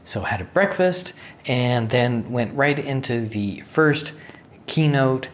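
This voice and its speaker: A man with a slightly raspy voice giving a casual, unscripted recap for a vlog, pausing to search for words and drifting into asides. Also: medium pitch. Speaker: vlogger, software developer. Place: hotel room. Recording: faint steady room noise.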